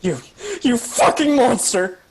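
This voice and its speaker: Man acting husky, anguished young male survivor Pete. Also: very high voice, expressive, slow pace, exaggerated voice, rough recording. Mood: furious, anguished. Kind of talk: table read of a screenplay.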